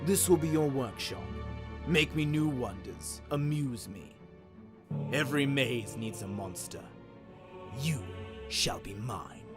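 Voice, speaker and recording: A man voicing a cold, cruel king with a slow, menacing, imperious manner in a mythic audio story. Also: medium pitch, lively intonation, music in the background. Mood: mocking, commanding.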